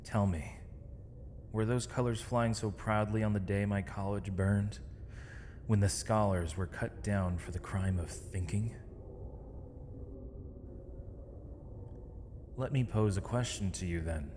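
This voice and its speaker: Man acting as a weary captured villain mage, low voice, dry sarcasm, formal theatrical diction, fantasy audio roleplay, noisy reverberant recording. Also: steady tone, unhurried, natural voice. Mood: bitter, challenging. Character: captured male mage, defiant, weary, eloquent, accused villain. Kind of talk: scripted ASMR audio roleplay. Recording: long reverb, clear background noise.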